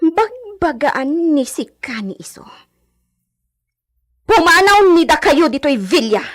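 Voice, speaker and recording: An actress playing a haughty, domineering young hacienda heiress in an Ilocano radio drama, with an imperious delivery. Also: female voice, high-pitched, big pitch swings, takes it slowly, heavily acted. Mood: imperious, scolding.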